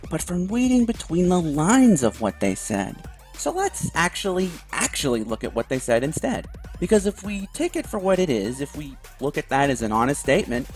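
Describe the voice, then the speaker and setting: A man's voice: fairly high pitch, a little mellow. A man giving conversational opinion commentary in an emphatic, wry manner with some sarcasm. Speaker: video commentator. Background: background music.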